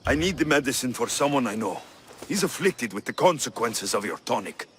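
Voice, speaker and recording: An actor playing a blunt, wry mercenary hero in a video game set in ancient Greece, dry and direct. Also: male voice, mid-range voice, big pitch swings, fairly quick, fairly bright tone, slight background noise. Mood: demanding. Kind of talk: video game character acting.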